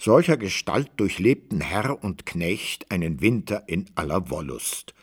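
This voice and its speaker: A man narrating a literary radio drama from a script, measured and wry in his storytelling. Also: deep voice, very animated, husky.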